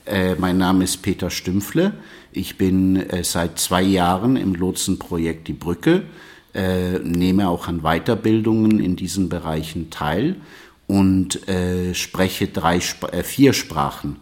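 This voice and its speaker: A man from Peru whose first languages are English and Spanish introduces himself as an integration guide, slowly and with frequent hesitations. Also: deep voice, darkish tone. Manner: slow, measured, with frequent hesitations. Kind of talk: personal self-introduction.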